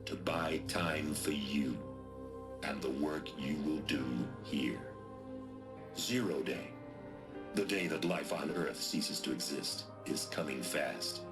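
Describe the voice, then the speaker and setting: An actor playing a grave, resolute military commander who speaks of doom in solemn, deliberate oratory in a video game cutscene. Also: male voice, extremely low pitch. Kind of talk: dramatic recorded briefing in a video game cutscene. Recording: loud music under the voice.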